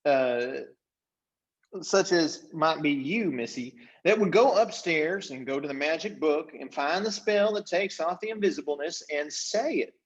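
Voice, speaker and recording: A man voicing a blustering, folksy invisible chief who rambles in rough rustic grammar, in a storybook reading. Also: on the high side, unhurried, highs cut off, natural voice. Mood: rambling, matter-of-fact menace.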